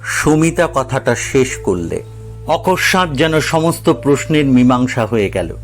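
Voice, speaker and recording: A man narrating a scripted dramatic story in a measured, literary storytelling manner. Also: mid-range voice, big pitch swings, faint music behind.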